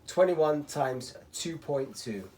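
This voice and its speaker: A man from Spain giving a casual, rambling hands-on tutorial as a racquet stringer, often clearing his throat. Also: a little high, animated, slow. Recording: clattering workshop tool noise.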